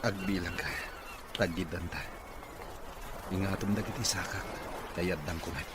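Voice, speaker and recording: A male actor plays a weary, wealthy Filipino employer who uses a wheelchair. His voice is very deep and carries bitterness in radio drama style. Clear background noise sits behind him. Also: fairly even intonation, moderate pace, natural voice. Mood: determined. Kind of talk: Ilocano radio drama acting. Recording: noisy, ambient background sound.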